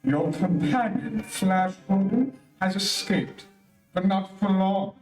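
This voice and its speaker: A haughty, merciless alien emperor acted by a man in a radio serial. He is pompous and commanding, with a fairly high voice heard at a distance in an echoey room. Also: slow pace, music in the background. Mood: cold, gloating. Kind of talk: old-time radio adventure acting. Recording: echoey, distant streamed stage audio.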